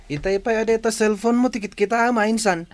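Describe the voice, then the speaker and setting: A male actor as a sly young village cousin in an Ilocano radio drama. His voice sits high, and he needles and wheedles in a boisterous, mocking way. There is a little noise behind him. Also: brisk, lightly acted. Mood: teasing.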